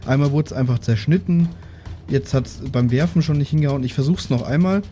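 A man's voice: mid-range voice, fairly quick, mellow. A man chatting casually as a player in a tabletop role-playing game. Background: background music.

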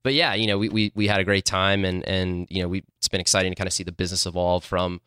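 Deep, crisp-voiced man from Illinois. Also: flat delivery, quick pace, sharp tone, no echo, clean studio sound. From Illinois, USA. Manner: rambling, many fillers. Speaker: guest, company co-founder. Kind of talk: interview answers.

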